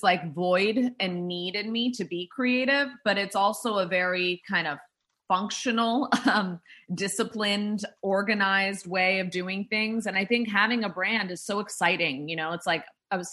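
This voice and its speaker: A woman's voice: medium pitch, bright, forceful tone. A woman who founded a drink startup, talking in a casual interview, rambling with many fillers and restarts.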